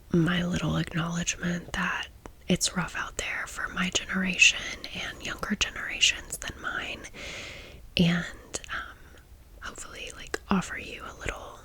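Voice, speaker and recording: A woman of thirty-five speaking in a breathy whisper, chatting informally and confidingly to the listener as an ASMR host. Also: fairly low pitch, sharp tone, poor audio. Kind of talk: whispered ASMR storytime, casual chat.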